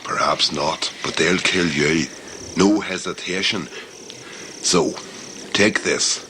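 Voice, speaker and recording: An actor playing a gruff, world-weary jungle guide, terse, blunt and cynical, in a sci-fi audio drama. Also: male voice, low voice, slow, bright, forceful tone, exaggerated voice. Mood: terse, wary. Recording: noisy amateur recording with background ambience.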